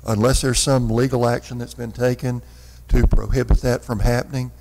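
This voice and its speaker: A man's voice: mid-range voice, little pitch movement, normal speed, vocal fry, darkish tone. A man answering questions as a city official in a formal council meeting, in a chamber. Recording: reverberant meeting hall.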